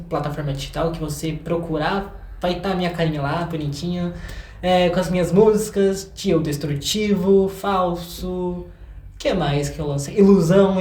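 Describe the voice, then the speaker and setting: A young Brazilian man chatting casually as an interview guest, with a playful, expressive manner and words repeated in quick bursts. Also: sits high. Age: young adult. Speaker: podcast guest, singer-songwriter. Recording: echoey room sound.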